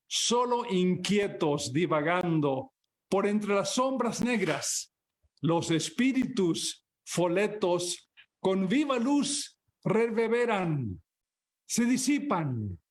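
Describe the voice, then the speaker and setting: A man from Argentina with an Argentine accent, a poet and translator speaking and reading poems at an online open mic. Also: high voice. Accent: Argentine Spanish.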